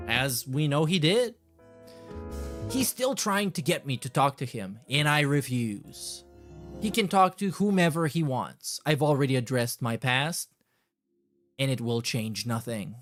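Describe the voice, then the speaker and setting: A man's voice: medium pitch, expressive, measured pace, faint music behind. A man hosting a reaction stream, reading messages aloud and commenting casually in a sardonic, dismissive, sweary way.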